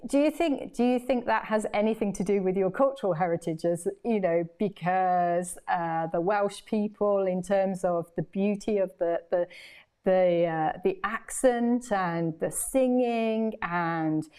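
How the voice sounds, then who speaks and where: A woman's voice: mid-range voice, unhurried, a little mellow, clean tone. A woman hosting an online interview, hesitant and repeating words as she frames her questions. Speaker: host.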